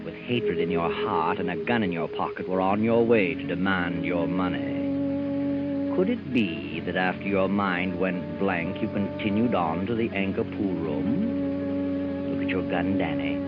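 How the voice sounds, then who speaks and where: An actor playing an ominous, accusing narrator in 1950s radio melodrama, dramatic and sinister like a taunting conscience. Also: male voice, low-pitched, wildly swooping pitch, measured pace, exaggerated voice. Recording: muffled vintage radio recording, loud music.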